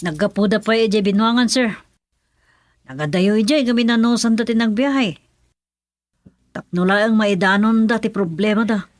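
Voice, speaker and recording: Casting: actress for gang boss's loyal woman aide, Ilocano accent, plain-spoken, middling pitch, dry studio radio-serial sound. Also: moderate pace, long pauses, no echo, lightly acted. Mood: reporting. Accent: Filipino, Ilocano. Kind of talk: Ilocano radio drama acting.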